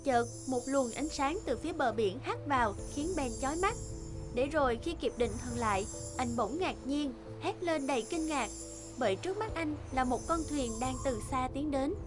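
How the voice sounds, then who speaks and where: High-pitched woman narrating a fairy tale. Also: expressive, moderate pace, music in the background.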